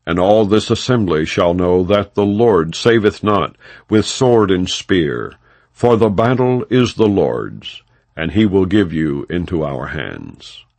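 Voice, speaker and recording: A man's voice: low voice, animated, takes it slowly, a little mellow, no room sound, exaggerated voice. A man reading the part of a bold, faithful young shepherd in a scripture audiobook, earnest and declamatory in old-fashioned speech. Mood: defiant, resolute.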